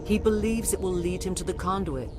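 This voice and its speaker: An actress playing a middle-aged alien mother in a video game, regretful and fighting mind control, speaking in a grave, formal, measured way. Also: lowish voice, over music, close to an everyday voice.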